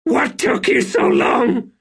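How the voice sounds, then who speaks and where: A man voicing a young emperor in an audiobook, imperious yet fragile and weary, with a halting, strained delivery. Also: fairly high pitch, big pitch swings, vocal fry, fairly bright tone, slightly rough, voice pushed up, exaggerated voice. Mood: anxious, imperious. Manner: halting, strained, swinging between command and fragility. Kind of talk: audiobook character acting.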